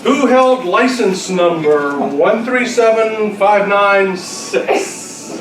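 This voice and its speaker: A man's voice: high voice, extremely slow. A man acting as quiz master at a club gathering, reading out questions with joking, teasing banter. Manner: jokey, teasing. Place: club luncheon in a roomy indoor space. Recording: echoey room from far off, chattering crowd. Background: chatter of club members.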